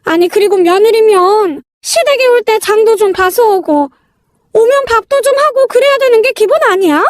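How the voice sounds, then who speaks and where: A woman playing a rude, bossy, quick-tempered elder sister-in-law in a narrated family drama, scolding and talking down bluntly. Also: extremely high pitch, lively intonation, no echo, studio quality. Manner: scolding, talks down in blunt informal speech.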